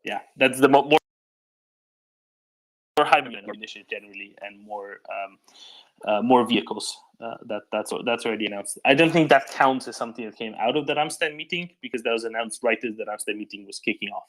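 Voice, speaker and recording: Man explaining news, mid-pitched voice. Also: brisk, a bit bright, low-quality recording. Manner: explanatory, often checks with "right?". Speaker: host of a live news discussion. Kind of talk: unscripted news commentary and discussion.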